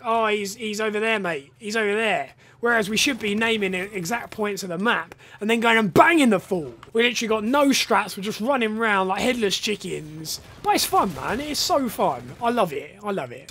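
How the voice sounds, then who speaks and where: A young man with a hoarse, partly lost voice, chatting casually over gameplay on a headset and swearing at the game. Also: sits high, a bit bright. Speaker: gaming YouTuber and rugby coach. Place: home room, indoors.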